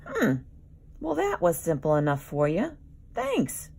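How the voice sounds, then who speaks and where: Woman as a moaning, lazy Lystrosaurus, slow and loud. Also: on the low side, huge pitch swings, gravelly, exaggerated voice. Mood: grudgingly thankful.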